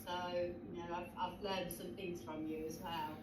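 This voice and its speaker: A woman's voice: on the low side, level tone, moderate pace. A woman hosting an online interview, introducing her guest in a chatty, friendly, rambling way. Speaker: host. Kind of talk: casual webinar hosting. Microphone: video call. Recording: distant, noisy, echoey call audio.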